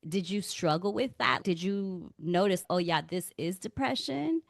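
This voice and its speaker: Upbeat female podcast host, lower register, dry close mic. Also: lively intonation, measured pace, gravelly, dry recording. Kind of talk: scripted intro then interview questions.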